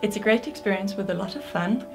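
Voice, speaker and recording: A young woman who studies interpreting, answering interview questions in a clear, composed way. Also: medium pitch, quick pace. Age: young adult. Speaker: interpreting student. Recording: music playing underneath.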